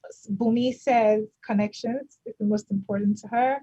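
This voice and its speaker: A woman with a husky, mellow voice reads out chat replies on a video call, brisk and friendly. Her sound is muffled and lo-fi. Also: a little high, fairly even intonation. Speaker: co-host reading the chat.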